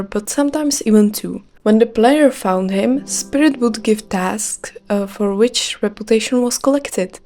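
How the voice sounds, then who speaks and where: A woman's voice: medium pitch, darkish tone. A young Czech woman with a light Czech accent, reading a scripted gaming video with care. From Czech Republic. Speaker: gaming YouTuber.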